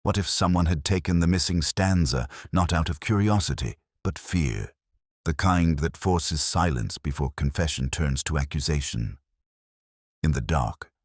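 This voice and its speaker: A man reading a first-person detective mystery as narrator, in a measured, brooding and deliberate way. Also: extremely low pitch, no room sound, studio quality.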